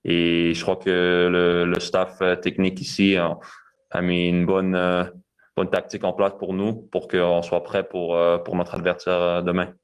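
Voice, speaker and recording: A man's voice: deep voice, monotone, measured pace, clear, resonant tone. A young man, a goalkeeper answering press questions with many fillers and restarts.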